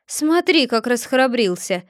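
A woman's voice: fairly high pitch, expressive, a little slow, dry recording, studio quality. A woman voicing a sly, stubborn middle-aged Cossack man who mutters indignantly to himself.

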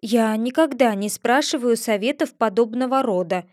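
A woman's voice: on the high side, normal speed, darkish tone, no echo, studio quality, close to an everyday voice. A woman voicing a polite, earnest seven-year-old girl who speaks carefully and apologizes.

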